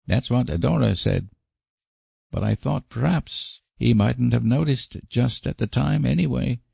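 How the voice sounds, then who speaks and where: A man's voice: medium pitch, a little slow, gravelly, mellow, husky, no room sound, pitched above the performer's own voice, full character voice. A man voicing a guilty, eager little boy in a storybook, childlike, earnest and confessional. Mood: guilty confessing. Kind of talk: storybook character voice.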